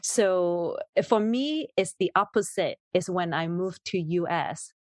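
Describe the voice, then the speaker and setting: A woman's voice: fairly low pitch, takes it slowly, dry recording. A woman whose first language is Cantonese, speaking English as a podcast guest, thoughtful and searching for words. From Hong Kong. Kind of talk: casual podcast conversation.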